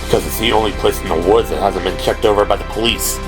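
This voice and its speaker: Man playing young male cop, intense, suspicious, firm, insistent, slightly lowered voice, music behind. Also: fast talker, close to an everyday voice. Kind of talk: audio drama character acting.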